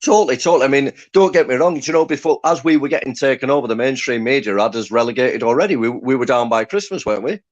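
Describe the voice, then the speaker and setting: A man's voice: mid-range voice, fast, few pauses, no echo. Chatty matey man, muffled dry audio. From Lancaster, England. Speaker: fan forum host. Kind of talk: casual fan chat.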